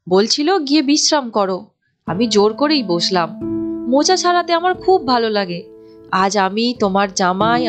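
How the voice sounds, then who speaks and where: Woman doing young wife in a cartoon, high voice, earnest n reassuring, music behind. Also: close to an everyday voice. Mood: cheerful, reassuring. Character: dutiful young married daughter, sincere and contented. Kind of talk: Bengali cartoon story acting.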